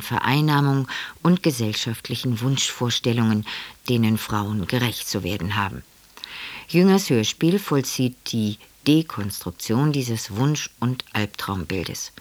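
A man giving a scripted radio introduction as an announcer, formal and measured in delivery. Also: medium pitch.

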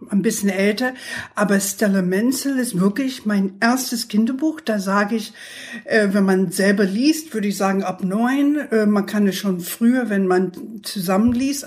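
Slow-talking older American woman. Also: mid-range voice, darkish tone. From New York, United States. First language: English. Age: 60s. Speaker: author, interview guest. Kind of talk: conversational interview.

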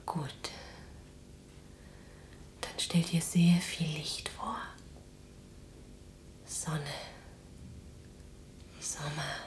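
An actress playing a weary but defiant, tender imprisoned resistance fighter in a German radio drama, speaking in a breathy, halting, intimate hush. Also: female voice, low voice, little pitch movement, echoey room. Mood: tender, wistful. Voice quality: breathy whispering. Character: exhausted, defiant, tender imprisoned resistance woman in wartime Netherlands.

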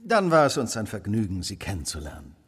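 Sharp-minded victorian gentleman sleuth, radio drama, bit husky, really lively intonation, polite but probing. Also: on the low side, brisk, full character voice. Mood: thoughtful, urgent. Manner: precise, probing, polite diction. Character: keen, incisive Victorian gentleman detective, determined and courteous. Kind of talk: radio play acting.

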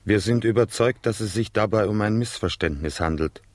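A somewhat low male voice in a dry studio. An actor plays a pious pastor in a radio play, formal and solemn in his indignation. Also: a little fast, a little mellow, dry recording, lightly acted. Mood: indignant, pious. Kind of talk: declaimed radio play dialogue.

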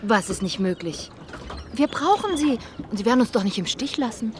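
An actress playing a brisk, confident female investigator with a direct, assured manner in a radio drama. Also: fairly high pitch, big pitch swings. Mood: coaxing. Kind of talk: German radio drama acting. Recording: clear ambient noise behind voice.

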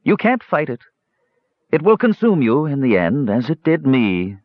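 A man voicing a cursed, sorrowful old shapeshifting wizard lord in a fantasy audiobook, slow and despairing. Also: a little high, wildly swooping pitch, creaky, breathy edge, no echo, voice pushed up, heavily acted. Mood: sad, resigned. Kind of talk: dramatic fantasy audiobook character voice. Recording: slightly dull, lo-fi audio.

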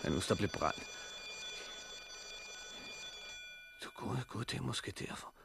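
An actor playing a hospital patient in a 1960s radio drama, moving theatrically between muttering and exclaiming. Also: male voice, on the low side, lively intonation, gravelly, background noise. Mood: grumbling, irritated. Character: bandaged hospital patient, newly able to see among the blind.

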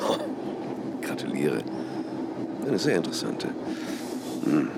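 An actor playing a sly secret guardian of the state, with oily, insinuating politeness, in a radio play. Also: male voice, extremely low pitch, wildly swooping pitch, slow pace, creaky, very noisy, full character voice.

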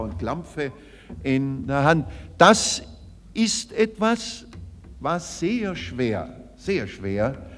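An older man giving an emphatic, oratorical speech with rhetorical tags and repetitions. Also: on the high side. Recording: archive recording with long hall reverb.

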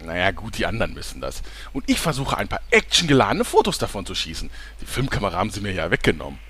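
A man's voice: lowish voice, wildly swooping pitch, quick pace, bright, forceful tone, exaggerated voice. A man voicing a sly, scheming male adventurer who takes photos, in a fan audio drama. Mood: chatty.

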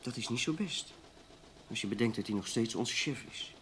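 Actor as animated, needling newspaper journalist. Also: male voice, mid-range voice, moderate pace, a little hiss or hum, natural voice. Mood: scornful muttering. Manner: teasing, sardonic. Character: cocky, needling, critical male newspaper journalist. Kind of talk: Dutch radio play acting.

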